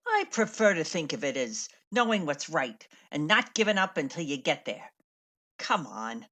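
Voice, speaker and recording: Wry low-voiced theater dame, played by a woman. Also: very animated, fairly bright tone, heavily acted. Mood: conspiratorial. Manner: brisk, frank, slangy. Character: wry, worldly female stage veteran, kindly mentoring. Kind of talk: radio play acting.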